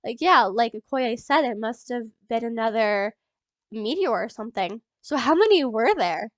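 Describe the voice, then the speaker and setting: A young woman with a stuffy nose from a cold, rambling excitedly in a casual movie reaction vlog, full of ums and little laughs. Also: a little high, moderate pace, no echo. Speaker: YouTuber.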